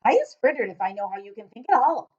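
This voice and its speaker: A woman voicing a big friendly old giant in a children's storybook, booming, emphatic and muddling words. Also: big pitch swings, a little mellow, husky, lo-fi sound. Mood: exasperated. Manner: booming, emphatic, drawn-out exclamations. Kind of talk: children's storybook read-aloud.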